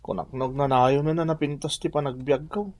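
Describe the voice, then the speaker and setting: An actor with an Ilocano accent playing a proud, neglectful grown son in a radio drama. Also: male voice, on the high side, steady tone, normal speed, a little mellow, dry recording, close to an everyday voice. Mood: ashamed, pleading.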